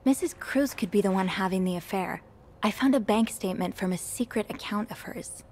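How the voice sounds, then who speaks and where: An actress playing a nosy young hotel maid in a narrative game, polite and a little hesitant. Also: female voice, mid-range voice, fairly quick, a little mellow, slight background noise, natural voice. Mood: intrigued, conspiratorial. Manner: hesitant, polite, stammers when flustered.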